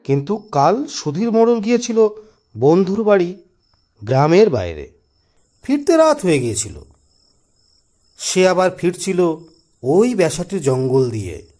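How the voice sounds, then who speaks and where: A male actor as a knowing villager in a horror cartoon, with a slightly high, swooping voice. He explains and admonishes emphatically, like an elder of the place, over background music. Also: sing-song, measured pace, pauses often, dark tone. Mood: grave storytelling. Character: knowing village gentleman, reassuring yet scolding, telling a ghost tale. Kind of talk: dramatic cartoon story dialogue.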